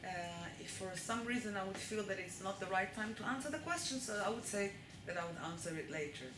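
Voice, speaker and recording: A woman's voice: medium pitch, animated, a little fast. A woman with an Israeli accent giving a live lecture to an audience and often checking that they follow along. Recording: echoey room with noise.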